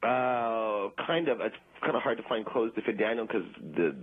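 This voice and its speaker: A man playing a meek, anxious amateur ventriloquist in deadpan radio comedy, hesitant and apologetic. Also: on the low side, normal speed, a little hiss or hum, natural voice. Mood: sheepish. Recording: muffled radio sound, music behind.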